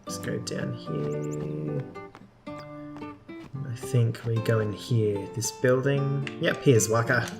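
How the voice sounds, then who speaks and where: A man's voice: mid-range voice, very animated, drawn-out words, gravelly. A man giving casual gameplay commentary as a let's play host, informal and a little hesitant. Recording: game music behind.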